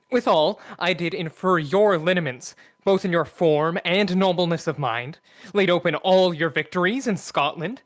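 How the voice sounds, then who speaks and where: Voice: medium pitch, fairly bright tone, natural voice. A student actor playing a loyal, wily duke who serves as henchman, oratorical, in a Shakespearean radio play. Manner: oratorical, reporting.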